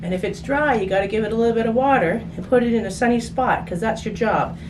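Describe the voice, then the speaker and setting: A woman talks in a playful, chatty way, as if showing children a simple craft step by step. She thinks aloud in a casual, unscripted style. Her voice sits away from the microphone, with clear noise around it. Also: fairly high pitch, fast. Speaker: children's story reader and craft host. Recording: distant mic, clearly noisy room.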